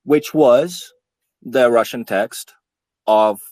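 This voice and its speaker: A man in his thirties, born in Ukraine, telling his own story in a measured, halting way, with frequent fillers. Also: medium pitch, darkish tone, no echo. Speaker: podcast speaker. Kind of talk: monologue, personal account.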